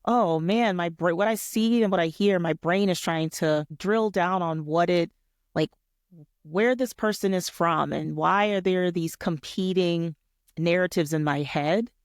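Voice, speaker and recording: A woman's voice: on the low side, measured pace, dry recording. Woman co-host, dry studio-like sound. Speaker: podcast co-host. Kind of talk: casual chat.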